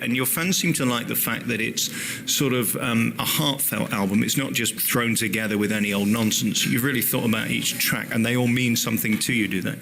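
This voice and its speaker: A man's voice: medium pitch, gravelly, a bit bright, slight background noise. A man hosting an interview, asking chatty, leading questions. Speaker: radio interviewer. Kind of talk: conversational interviewing.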